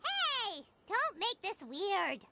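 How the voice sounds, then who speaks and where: A woman voicing a tiny, chirpy, childlike fairy companion in a video game, squeaky and full of exclamation. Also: big pitch swings, drawn-out words, heavy background noise, heavily acted. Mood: indignant. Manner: exclamatory, refers to self by name.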